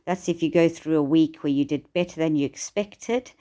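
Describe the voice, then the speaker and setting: A woman talks through her self-help advice in a relaxed, encouraging way. Her voice sits low and sounds a little hoarse from a cold. She often restarts her sentences as she chats along. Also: moderate pace. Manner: chatty, encouraging, repeats and restarts. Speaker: life coach. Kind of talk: casual self-help talk to camera.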